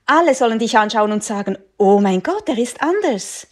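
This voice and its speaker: A woman interpreting a sermon phrase by phrase, measured and following the preacher line by line. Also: on the high side, lively intonation.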